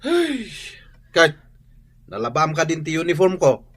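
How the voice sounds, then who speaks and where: An actor with an Ilocano accent playing a nagging, exasperated husband in a radio drama. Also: male voice, on the high side, wildly swooping pitch, unhurried, full character voice. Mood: whining, exasperated.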